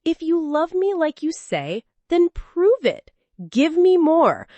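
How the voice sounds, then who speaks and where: A woman's voice: very high voice, sing-song, takes it slowly, dark tone, no room sound, lo-fi sound, voice pushed up, full character voice. A woman voicing a flirtatious, demanding mistress in a storybook tale, coaxing and scornful.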